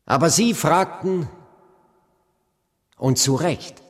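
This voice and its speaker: A man's voice: a little high, slow. An actor playing an earnest, resolute male civil rights leader and preacher in a German radio drama, with weighty, emphatic oratory. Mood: impassioned, resolute. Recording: reverberant hall sound.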